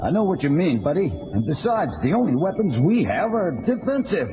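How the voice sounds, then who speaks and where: A confident, chummy hero talks in a highish voice with lots of swing. It is a dull-sounding superhero storybook recording with breezy, easygoing delivery. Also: male voice, very animated, fairly quick. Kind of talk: superhero audio storybook acting. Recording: dull old audiobook, loud music behind.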